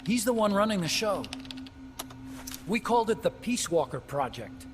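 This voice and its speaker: A man's voice: high voice, sing-song, normal speed. An actor voicing an earnest, talkative young male engineer scientist who explains things at length in a game cutscene. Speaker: game character. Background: game score music.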